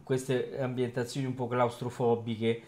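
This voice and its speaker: A man's voice: mid-range voice, level tone, unhurried, darkish tone. A man hosting a casual radio talk, rambling hesitantly and often seeking agreement. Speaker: radio host.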